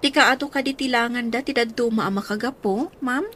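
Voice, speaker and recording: A sociable, sympathetic young woman, voiced by an actress in a radio drama. Her voice is high, with a little noise behind it. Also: brisk, fairly bright tone, lightly acted. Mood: subdued, concerned.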